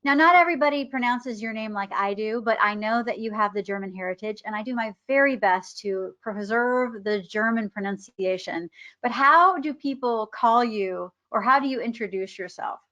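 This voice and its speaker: A woman in her fifties hosts with bubbly, upbeat chatter in a fairly high voice. The sound is dull and lo-fi, like a video call. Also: moderate pace, bright, forceful tone. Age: 50s. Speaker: host. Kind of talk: casual interview chat.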